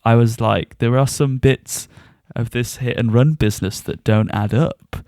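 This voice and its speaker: A man's voice: mid-range voice, fairly even intonation, measured pace, dark tone, no room sound, clean studio sound. A man co-hosting a podcast, giving a casual review and often chuckling as he talks. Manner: chuckles often while talking.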